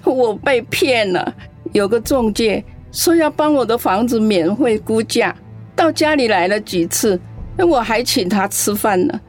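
An actress playing a lonely, kindly old grandmother in a radio play, speaking slowly and gently. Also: a little high, expressive, soft background music. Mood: tearful. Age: older. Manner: slow, gentle, grandmotherly; tearful when upset.

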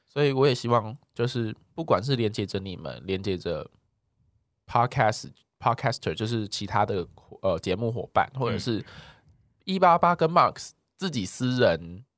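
A talkative man with a Taiwanese Mandarin accent co-hosts a casual podcast. His pitch moves around a lot as he chats, dropping in English words now and then. The recording is close and dry. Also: animated, normal speed, dry recording. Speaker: podcast co-host. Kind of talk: casual chat podcast, reading listener replies.

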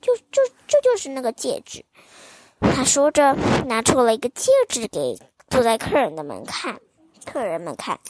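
A young woman reading a fairy tale aloud to children in a gentle, sing-song, child-directed manner. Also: on the high side, very animated, moderate pace, low-quality recording. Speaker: children's storyteller host.

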